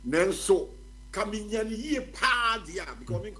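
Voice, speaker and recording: Brief: Ghanaian man, fifties, higher-pitched, talks in bursts of restarts. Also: very animated, moderate pace, sharp tone. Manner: mixes English and Twi, repeats words, stammers. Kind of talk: casual radio discussion.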